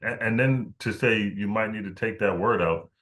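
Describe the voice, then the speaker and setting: Low deep voiced guy hosting a podcast, talks casually, kinda stop-start, repeats himself as he thinks. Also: a bit bright. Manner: halting, repeats words while thinking aloud. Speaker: podcast host. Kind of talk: casual interview conversation.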